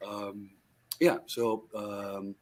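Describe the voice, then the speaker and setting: A man's voice: fairly low pitch, little pitch movement, drawn-out words. A man in his sixties, a guitarist guest in an interview, telling his story in a rambling way with many fillers and restarts over a video call. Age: 60s.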